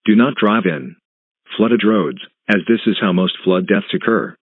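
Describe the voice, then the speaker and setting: A man reading scripted emergency weather warnings as an announcer, in a formal, measured, deliberate way. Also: lowish voice, animated, husky, dry recording. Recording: narrow, phone-like band.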